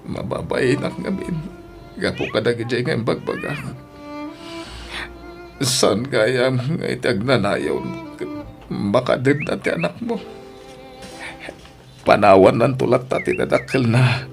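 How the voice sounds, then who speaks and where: A man's voice: medium pitch, very animated, unhurried, vocal fry, long pauses, music in the background. A man acting a sorrowful, lonely widowed father in an Ilocano audio drama, speaking plaintively. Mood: hurt, sorrowful.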